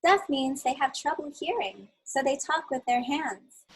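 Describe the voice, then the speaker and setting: A woman reading aloud as a kind, patient mother explaining things gently to her two boys. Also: high voice, lively intonation, a little slow, a little hiss or hum, voice pushed up, poor audio. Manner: gentle and reassuring. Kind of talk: storybook read-aloud.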